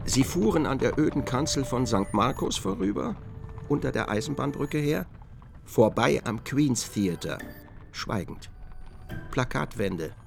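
A man narrating a literary radio drama as an observant, measured storyteller. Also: mid-range voice, sing-song, gravelly, faint music behind.

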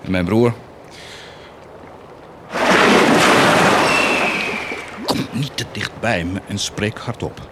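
Deep, swaggering, blasphemous warlord played by an actor. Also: male voice, moderate pace, very noisy, natural voice. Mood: cold, commanding. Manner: declamatory, defiant, swinging from muted menace to outbursts. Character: cynical, blasphemous bastard army commander, proud of his wickedness. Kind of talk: theatrical radio drama acting.

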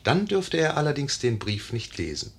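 A husky male voice with lively intonation as the narrator of a 1950s radio play. He muses wryly to his listeners, chatty and ironic. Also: mid-range voice, normal speed, heavily acted. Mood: musing, amused. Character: wry, musing narrator addressing the audience.

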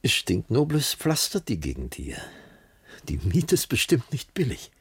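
A man's voice: mid-range voice, wildly swooping pitch, unhurried, a bit bright, husky, heavily acted. An actor playing a wry, bantering former policeman turned private investigator in a 1980s German radio crime drama. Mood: wry, probing. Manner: jocular, sardonic.

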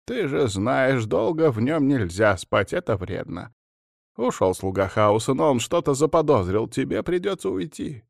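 A man acts out an old witch of the swamps in a fantasy tale. She is stern and defiant, with an imperious way of giving orders. The voice is raised in pitch, with some gravel in it. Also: sing-song, normal speed, dry recording, studio quality. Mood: urgent, motherly. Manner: commanding, forceful threats.

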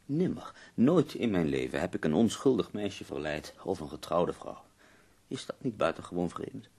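A very low, creaky male voice plays an ailing nineteenth-century poet in a radio drama. He is husky and formal, choosing his words deliberately, with bitter, wry irony. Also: big pitch swings, warm tone, heavily acted. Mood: earnest, defensive. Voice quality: gravelly, husky. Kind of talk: Dutch radio play acting.

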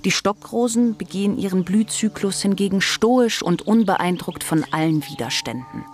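A woman's voice: medium pitch, moderate pace, a little hiss or hum, natural voice. An actress playing a composed narrator in a radio play, formal and precise in her scripted delivery. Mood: composed, descriptive.

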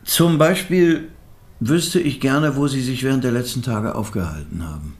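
An actor playing a polite, hesitant police detective in a radio play, halting and formal as he probes. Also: mid-range voice, lightly acted. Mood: hesitant probing.

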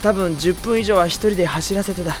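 A man playing an easygoing, kindly high school boy in an anime audio drama, with a friendly, reactive manner. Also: a little fast, over music, lightly acted. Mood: concerned.

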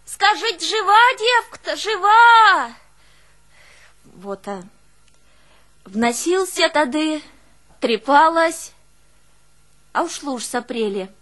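A woman's voice: extremely high pitch, slow pace, long pauses, heavily acted. An actress playing an artless, emotional peasant woman with a northern Russian rural dialect, telling her life in a theatrical folk monologue. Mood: wistful, yearning. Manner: folksy, expressive, exclaiming and musing aloud. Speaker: stage actress in a one-woman play.

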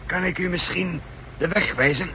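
An actor playing an uneasy, cowardly traitor in a 1960s radio drama, polite and hesitant with his questions. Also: moderate pace. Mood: polite, guarded. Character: anxious male traitor, uneasy driver full of questions. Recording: noisy old radio recording, car rumble.

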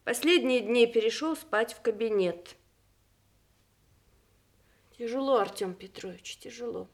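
An actress playing a general's devoted, anxious wife in a Soviet radio play, with an expressive, emphatic manner. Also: female voice, a little high, a little slow. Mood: grave, anxious.

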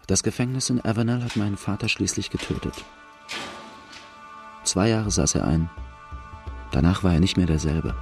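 A rueful outlaw looks back in first-person radio drama narration. His voice is very deep and gravelly, with faint music beneath. The telling is plain and reflective. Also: normal speed, long pauses. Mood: sombre, reflective. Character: rueful male outlaw recounting his hard childhood.

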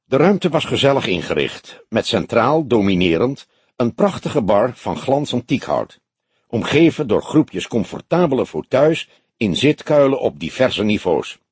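A man's voice: medium pitch, big pitch swings, measured pace, breathy edge, no room sound. A man reading a detective novel as an audiobook narrator, in scripted storytelling style.

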